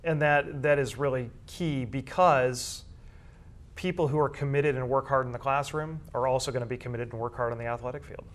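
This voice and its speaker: A man's voice: medium pitch, fairly even intonation, a little fast. A man with an American accent answering as a school staff guest in a conversational interview, earnest and given to repeating points for emphasis.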